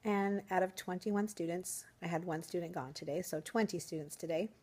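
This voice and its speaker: Young woman teacher, casual vlog delivery, slightly hoarse, chatty and upbeat, lower pitch. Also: a little fast, a little mellow. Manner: chatty, upbeat, rambling with fillers. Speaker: first grade teacher, vlogger. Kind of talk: casual vlog chat to camera.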